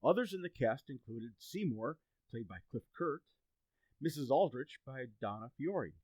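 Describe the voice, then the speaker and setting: A male radio announcer delivers scripted credits in a very dry recording. His intonation is lively and varied, with a slightly husky tone. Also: medium pitch, normal speed, no echo, poor audio.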